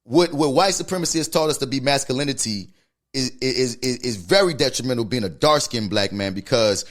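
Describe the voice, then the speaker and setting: A man with a New Orleans accent co-hosting a casual podcast discussion, animated and swearing freely. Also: mid-range voice, a little fast. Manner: animated, swears freely, tags lines with "you feel me". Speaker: podcast co-host.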